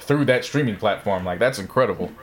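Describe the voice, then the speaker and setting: A man's voice: lowish voice, lively intonation, brisk, a little hiss or hum. A man co-hosting a podcast in casual chat, easygoing and rambling, with many fillers. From part Mexican family. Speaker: podcast co-host.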